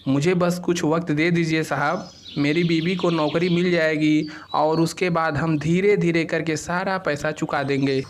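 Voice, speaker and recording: Man acting an earnest young husband, slightly high voice. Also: steady tone, unhurried, few pauses, a little hiss or hum, close to an everyday voice. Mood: pleading. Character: trusting, hard-pressed young village husband, earnest and pleading. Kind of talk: Hindi moral story cartoon acting.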